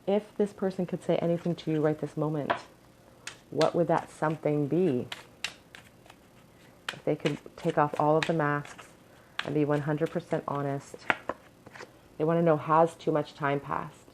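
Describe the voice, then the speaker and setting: A woman's voice: sits low, fairly even intonation, normal speed, pauses often. A woman with a crackly voice giving an earnest pick-a-card tarot reading at home, advising with frequent ums and restarts. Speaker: tarot reader.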